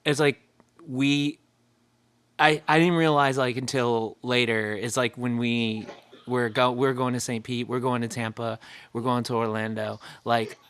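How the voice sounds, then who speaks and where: A man's voice: mid-range voice, level tone, unhurried, fairly bright tone, clean tone. A man from Florida hosting a podcast, chatting casually and rambling, stumbling over his words. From Florida, United States. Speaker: podcast host. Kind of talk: casual interview chat.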